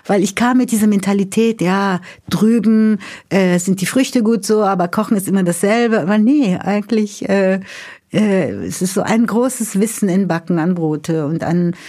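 A Brazilian woman speaks German with a clear Portuguese accent, chatting easily and lively about cooking. Her talk is full of fillers and restarts, relaxed and conversational, her pitch sitting in an ordinary middle range. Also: normal speed. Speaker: guest chef. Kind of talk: casual podcast conversation.

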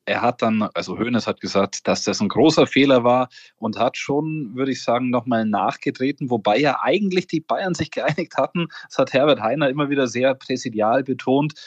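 A man co-hosting a casual sports podcast, chatty and gently mocking, with many fillers. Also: mid-range voice, brisk, hardly any pauses, no echo, muffled recording. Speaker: podcast co-host, reporter.

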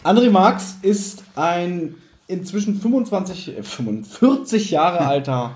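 A man's voice: high voice, animated, measured pace, darkish tone, echoey room, a little hiss or hum. A man with a German accent hosting a casual podcast, explaining background information in an informative way.

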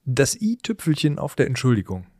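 A man's voice: mid-range voice, big pitch swings, brisk, a little mellow, no room sound. Casual male podcast co-host, dry sound.

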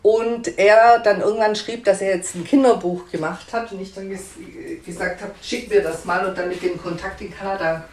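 A woman's voice: mid-range voice, steady tone, normal speed, hardly any pauses, a little mellow, echoey room. A woman publisher answering interview questions in a hesitant, conversational way with frequent fillers and restarts.

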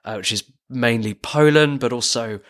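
A man's voice: medium pitch, normal speed, fairly bright tone. Dry-humored man podcast co-host. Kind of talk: scripted podcast narration.

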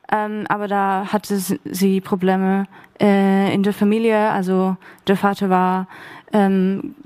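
A woman who took part in a workshop, answering on a panel in a hesitant way with many pauses and fillers. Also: mid-range voice, a little mellow, clear, resonant tone, dry recording. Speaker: workshop participant.

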